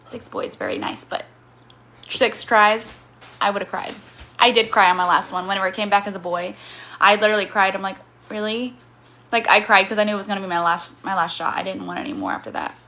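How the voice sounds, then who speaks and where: Chatty woman streamer with a bright voice. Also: mid-range voice, big pitch swings, fairly quick. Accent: Missouri. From Missouri, USA. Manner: chatty, rambling, reads and answers comments. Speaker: livestreamer. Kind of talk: casual chat with live chat.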